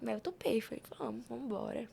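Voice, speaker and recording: A young woman with a high voice and a Brazilian Portuguese accent. She tells stories in an informal, animated podcast style, slipping into quoted dialogue as she goes. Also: quick pace, vocal fry, slightly rough. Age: young adult. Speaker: podcast guest, youth institute member. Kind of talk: casual storytelling on a podcast.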